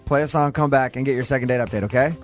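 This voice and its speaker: Fast-talking male radio host. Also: mid-range voice, steady tone, music in the background. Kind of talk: casual morning radio banter.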